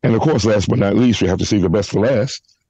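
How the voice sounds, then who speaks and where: A man hosting a church podcast, hoarse from a flu, making announcements and leading a prayer with halting restarts. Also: on the low side, mellow, dry recording, low-quality recording. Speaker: podcast host. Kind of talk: casual church podcast chat.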